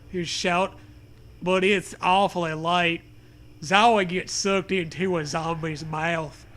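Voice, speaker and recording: A man reading a comedy story aloud with deliberate, mock-dramatic narration and bits of banter. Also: high voice, bright, forceful tone.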